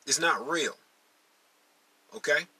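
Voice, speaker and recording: A man's voice: fairly high pitch, takes it slowly, pushed and bright, husky, no echo. A man from Maryland giving an instructional monologue to his followers. He talks emphatically, repeats key phrases and calls his listeners y'all. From United States, Maryland. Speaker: teacher addressing followers.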